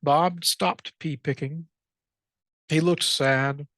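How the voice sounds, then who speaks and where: A storyteller reading a children's picture book aloud in an expressive read-aloud style. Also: medium pitch, slow, no room sound. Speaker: librarian, storytime reader.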